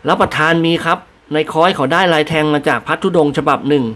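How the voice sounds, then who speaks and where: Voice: mid-range voice, fast, fairly bright tone, close to an everyday voice. A reader voicing a humble, deferential male servant answering his masters politely in an audiobook.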